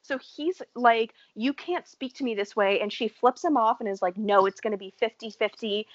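A woman's voice: on the high side, normal speed, no room sound, dull audio. A woman from the United States in casual, blunt and profane chat, laughing often. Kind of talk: casual chat about a reality show.

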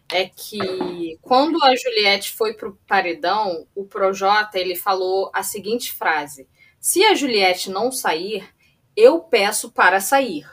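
A woman's voice: medium pitch, fairly bright tone. A woman co-hosting a casual chat podcast, with a playful, mocking, salty way of talking. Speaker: podcast co-host.

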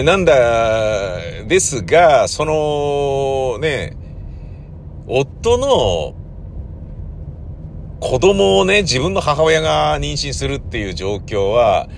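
A man with a slightly low voice and very animated intonation talks casually on his own as a podcast host. He moves in halting, drawn-out phrases, often seeking agreement. A faint noise sits behind him. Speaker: host of a regular podcast, radio drama director. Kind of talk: casual solo talk, podcast diary.

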